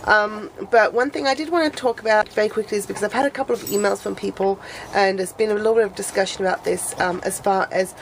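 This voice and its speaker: A woman's voice: mid-range voice, steady tone, fast talker, a little hiss or hum, rough recording. A woman vlogging in casual chat, her voice a bit nasal and sniffly from a cold, rambling and restarting her sentences.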